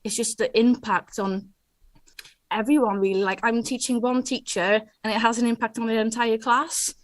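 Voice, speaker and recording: A woman's voice: on the high side, steady tone, moderate pace, dry recording. Woman, hesitant, with many ums. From Netherlands. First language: Dutch. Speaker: award winner, digital skills trainer. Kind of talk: onstage interview.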